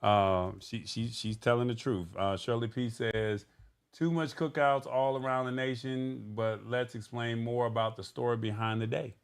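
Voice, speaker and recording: A man's voice: medium pitch, fairly even intonation, moderate pace, clear, resonant tone. A man hosting a live show in casual talk, conversational and thinking aloud as he goes. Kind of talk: casual livestream talk, reading viewer comments.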